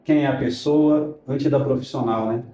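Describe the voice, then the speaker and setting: Mellow-voiced podcast host man. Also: mid-range voice, steady tone, moderate pace, echoey room. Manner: friendly, announcer-like sponsor reads. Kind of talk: reading sponsor ads, then interviewing.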